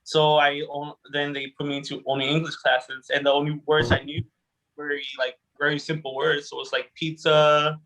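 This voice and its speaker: A man's voice: on the high side, little pitch movement, normal speed, bright, forceful tone, low-quality recording. A man in his twenties, a native Spanish speaker, giving long answers full of fillers and self-corrections on a video call. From Arizona, United States. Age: 20s. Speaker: guest speaker. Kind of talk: casual conversational answers.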